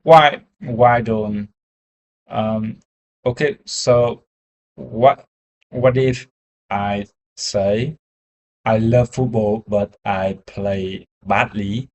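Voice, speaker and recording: A man's voice: fairly low pitch, steady tone, very slow, a little mellow, muffled recording. A man with a Vietnamese accent practicing spoken English with a tutor, hesitant and searching for his words. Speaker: English learner. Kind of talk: conversation practice.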